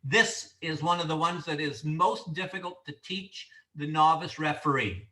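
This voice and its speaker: A man from Canada lecturing referee trainees, loud and sure of himself, his voice bright and a touch high. He sits back from the mic in an echoey room, so the sound is roomy and distant. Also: a little slow. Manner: loud, confident, instructive delivery. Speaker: referee instructor.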